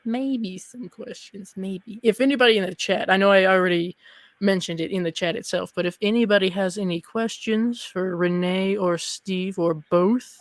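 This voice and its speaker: A young adult host chats casually through a livestream interview. The voice sits at a middle pitch and sounds close and dry. Short agreeing interjections pepper the talk. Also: fairly even intonation, moderate pace, no echo.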